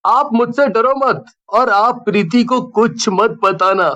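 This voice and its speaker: Cartoon ghost role, played by a man: young, sorrowful, earnest, unusually high male voice, slow delivery. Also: pushed and bright, exaggerated voice. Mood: regretful, pleading. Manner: earnest, wistful. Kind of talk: Hindi horror cartoon dubbing.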